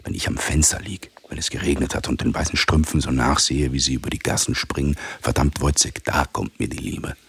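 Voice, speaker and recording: Pompous muddled army captain, radio play, super low creaky voice, keeps repeating himself, bit of noise behind. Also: male voice, brisk, few pauses, fairly bright tone, full character voice. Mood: self-righteous, musing. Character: pompous, befuddled, moralizing army captain lecturing his subordinate. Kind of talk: theatrical radio drama acting.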